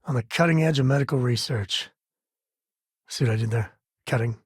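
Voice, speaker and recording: An actor playing an easygoing middle-aged frontier ranger and dad in a space video game, husky and raspy, with a wry, plain-spoken manner. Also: male voice, mid-range voice, moderate pace, dry recording, clean studio sound, close to an everyday voice. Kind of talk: video game character dialogue. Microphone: close, dry studio mic.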